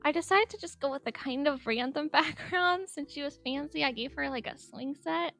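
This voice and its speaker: A woman with a high, light voice chatting through a speedpaint voice-over. She rambles, giggles often and fills her sentences with "like". Soft music plays faintly behind her. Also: normal speed, vocal fry. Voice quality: speaks higher than her normal voice on mic. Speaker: artist narrating a speedpaint. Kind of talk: casual voice-over commentary. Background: soft background music.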